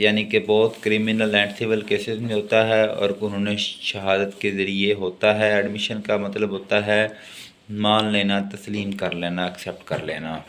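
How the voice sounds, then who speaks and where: A man with a Pakistani accent and a fairly low voice delivers a lecture at an unhurried pace. He reads from his notes deliberately and explains as he goes. There is faint noise behind him. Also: a bit bright. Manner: slow, deliberate when reading English, explains in Urdu. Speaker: law lecturer.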